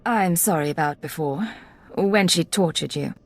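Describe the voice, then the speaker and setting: An actress playing a composed, quietly sympathetic secret agent in a video game, understated and formal. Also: female voice, sits low, normal speed. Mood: guarded. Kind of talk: video game drama acting.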